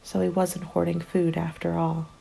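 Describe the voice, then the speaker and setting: A woman playing a weary, despairing zombie apocalypse survivor in a scripted ASMR roleplay, hushed and often slipping into a whisper. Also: fairly low pitch, monotone, moderate pace, creaky, rough recording. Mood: bleak, despairing. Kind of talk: ASMR roleplay, scripted first-person narration. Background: soft music bed.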